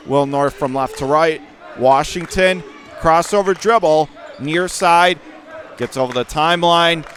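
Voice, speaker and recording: Male sports announcer, higher-pitched voice, basketball play-by-play in clipped, excitable phrases. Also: measured pace, some chatter behind, a little hiss or hum. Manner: clipped phrases that rise to excited calls on big plays. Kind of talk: basketball radio play-by-play commentary. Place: high school gym during a game.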